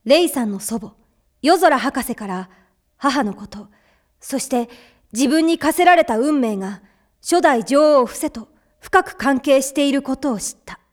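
A woman's voice: sits high, lively intonation, a little slow. A woman playing an earnest, brooding young boy hero, narrating an anime story in a sombre, reflective tone. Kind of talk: dramatic first-person anime narration.

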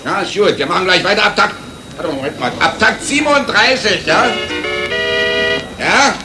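A grumbling band leader in a radio satire. He gives bossy, colloquial orders in a high voice, in an echoey room with music behind him. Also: lively intonation, normal speed. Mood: bossy, fussy. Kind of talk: satirical radio play acting.

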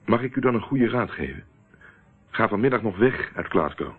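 A man's voice: on the low side, animated, moderate pace, breathy edge. An actor playing a shrewd, teasing investigator in a radio play, wry and controlled as he probes. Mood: probing, firm. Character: shrewd, teasing male investigator, calmly pressing. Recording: muffled, narrow-band old radio recording.

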